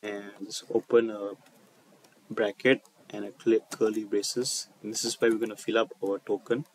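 A man presenting an unscripted coding walkthrough over a screen recording, speaking haltingly and often repeating and rephrasing his phrases. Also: lowish voice, level tone, slight background noise. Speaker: tutorial presenter.

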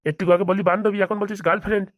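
Female voice actor as a grumbling, distrustful old grandfather in a comedy cartoon; quick, low, dry. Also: little pitch movement, dry recording, highs cut off, lightly acted. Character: grumpy suspicious old village grandfather. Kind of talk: comic cartoon voice acting.

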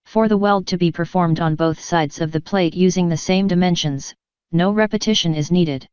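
Clear, low-pitched woman teaching steadily. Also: no room sound. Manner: measured, even explanatory delivery. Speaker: instructor. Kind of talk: scripted technical narration.

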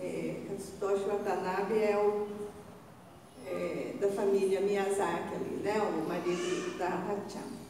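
Slow older woman in an echoey hall. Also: medium pitch, dark tone, far from the mic. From Brazil. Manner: slow, halting, with many fillers and restarts. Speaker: church leader giving announcements. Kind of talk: unscripted church announcements. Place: large church hall indoors, altar behind. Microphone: stand mic a little off. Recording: echoey hall recorded from afar.

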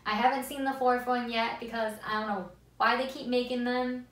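A young woman with a squeaky voice chatting enthusiastically to the camera in a casual vlog, seated in a small room. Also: level tone, moderate pace, a bit bright. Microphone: far camera. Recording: echoey room, mic at a distance.

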